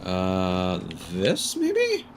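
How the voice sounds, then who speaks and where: A man's voice: deep voice, huge pitch swings, drawn-out words, slight background noise. A man giving casual live gameplay commentary, thinking aloud in fragments. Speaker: game streamer. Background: game music.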